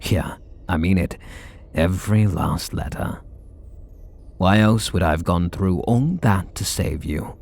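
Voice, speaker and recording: A man playing a gravelly, flustered super villain. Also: a little slow, exaggerated voice. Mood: confessing. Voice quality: low gravelly husky voice. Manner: soft and intimate, bashful. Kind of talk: ASMR audio roleplay.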